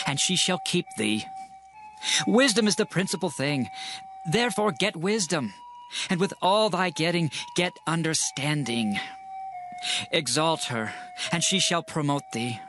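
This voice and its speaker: An actor playing an earnest father who urges his child toward wisdom in a dramatized scripture reading, with music behind him. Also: fairly high pitch. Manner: earnest, exhorting. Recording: music under the voice.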